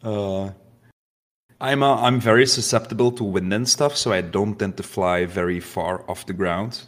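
Casting: lowish male player, goofy and a bit stop-start. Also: steady tone, fairly bright tone. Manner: halting, playful, many fillers. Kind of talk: casual tabletop roleplay chat.

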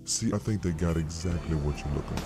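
Slangy cartoon store owner, low, creaky, relaxed, music underneath. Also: male voice, brisk. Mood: laid-back. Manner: folksy, slangy. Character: cool laid-back cartoon clothes shop keeper.

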